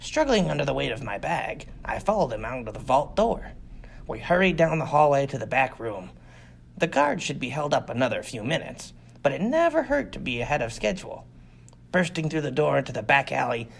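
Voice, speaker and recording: A man's voice: medium pitch, big pitch swings, a little fast, vocal fry. A man reading a first-person science fiction adventure story as scripted audiobook narration. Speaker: audiobook reader.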